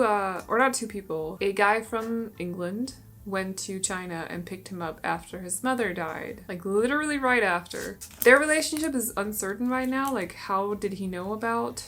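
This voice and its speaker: A woman's voice: mid-range voice, fairly bright tone. Tired chatty young Danish woman. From Denmark. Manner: chatty, laughs often, rambling asides. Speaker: booktuber. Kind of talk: casual chat to camera.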